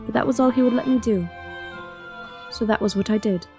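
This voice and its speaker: An actress playing a devoted, strong-willed young princess in an audio drama, speaking and narrating in a measured, earnest, solemn way. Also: female voice, a little high, music in the background, lightly acted. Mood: wistful, grieving. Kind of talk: audio drama acting with first-person narration.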